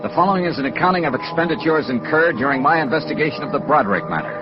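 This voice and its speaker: An American man playing a brisk, hard-boiled insurance investigator in a 1950s radio noir drama, clipped and matter-of-fact. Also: on the high side, expressive, lightly acted. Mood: businesslike. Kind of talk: 1950s radio noir acting and first-person narration. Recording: muffled vintage radio recording with music.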